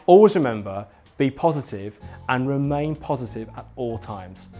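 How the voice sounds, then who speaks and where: A man narrates an instructional film in a medium-pitched voice. He explains each point with clear emphasis and encouragement, speaking straight to the learner. Faint music plays behind him. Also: expressive, normal speed, mellow. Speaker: instructor, presenter. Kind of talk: scripted instructional narration addressed to viewer.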